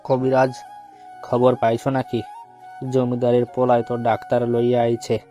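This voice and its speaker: A man's voice: medium pitch, completely flat delivery, normal speed, dark tone, soft background music, lightly acted. A man playing a crooked, grumbling village schemer in an audio story, speaking rural Bangladeshi Bengali dialect. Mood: sly.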